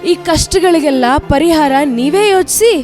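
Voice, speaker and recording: A woman's voice: extremely high pitch, normal speed. A woman playing a wronged, motherly rain goddess in an audio drama, pleading with indignant rhetorical questions. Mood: pleading, helpless. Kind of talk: Kannada audio drama monologue. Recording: loud music under the voice. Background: dramatic background music.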